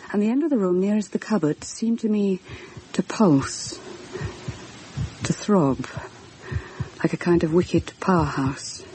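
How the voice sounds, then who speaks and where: A woman's voice: on the low side, mellow, natural voice. An actress playing an earnest, troubled woman telling her ghost story in a vintage radio horror drama, intense and confiding. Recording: noisy old radio drama recording.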